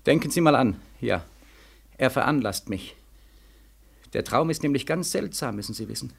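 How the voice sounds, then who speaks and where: An actor playing a bitter, sleepless young war veteran in 1940s German radio drama style, feverish and sardonic, repeating himself between weary murmurs and anguished cries. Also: male voice, medium pitch, expressive, normal speed, vocal fry, slightly rough. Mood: haunted, intense.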